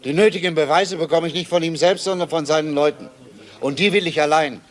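An actor plays a single-minded male detective in a radio crime drama. His voice is somewhat high, and he sounds set on his goal. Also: fairly quick, lightly acted. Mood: determined. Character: determined male detective hunting a murderer.

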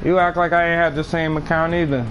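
A man's voice: fairly high pitch, little pitch movement, fast talker, fairly bright tone, muffled recording, soft background music. A young man chatting in a laid-back, slangy and profane way with friends during an online game. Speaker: friend in party chat. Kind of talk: casual gaming chat.

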